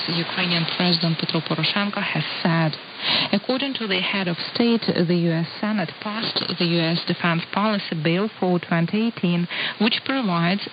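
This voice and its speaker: A woman newsreader delivering a bulletin in a measured, formal manner. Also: on the low side. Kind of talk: read news bulletin. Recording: hissy lo-fi shortwave radio.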